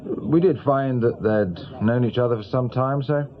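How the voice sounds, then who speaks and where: An actor playing a brisk, self-assured senior British police officer in middle age, matter-of-fact and rather patronising. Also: male voice, mid-range voice, muffled recording, natural voice. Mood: casual, matter-of-fact. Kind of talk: naturalistic radio drama acting.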